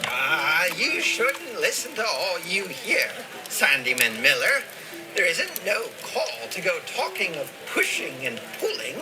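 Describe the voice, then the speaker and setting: An actor playing a talkative, folksy old hobbit gardener in an audio play, rambling and gossipy. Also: male voice, sits high, huge pitch swings, slow pace, noisy background, exaggerated voice. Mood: reproving. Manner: rambling, gossipy, rustic phrasing. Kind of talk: dramatized audio play dialogue.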